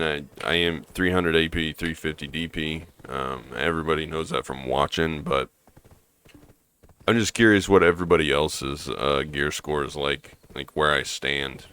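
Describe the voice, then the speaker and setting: A hoarse man playing a game and thinking aloud in a rambling, casual way, with fillers and restarts. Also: rumbling low, a little slow, a bit bright. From American Midwest. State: hoarse from allergies. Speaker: gaming streamer. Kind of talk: casual gameplay commentary.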